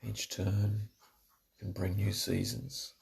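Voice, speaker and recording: A man narrating a scripted guided relaxation in a soothing, deliberate, instructional way, with a gravelly voice. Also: lowish voice, little pitch movement, a little mellow.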